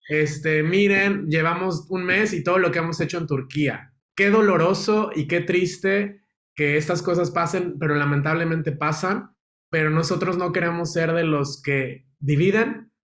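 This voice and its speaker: A man's voice: fairly high pitch, fairly bright tone. Firm man teaching at a missions workshop. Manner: firm, moralizing, with fillers. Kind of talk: sermon-like teaching.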